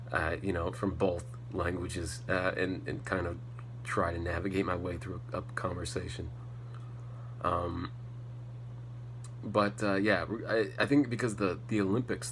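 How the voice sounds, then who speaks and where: A low-pitched American man hosts a solo podcast in a loose, rambling, blunt style peppered with swearing. He sounds a little stuffed up from a cold. The audio is poor, with a faint hum and noise underneath. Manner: blunt, ranting, heavy casual swearing. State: slightly congested from a cold. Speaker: podcast host. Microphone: faulty setup, not the intended microphone.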